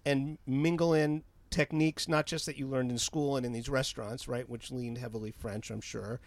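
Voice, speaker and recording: A man's voice: mid-range voice, steady tone, moderate pace, no room sound. A man interviewing a chef onstage, asking long, winding questions with many restarts.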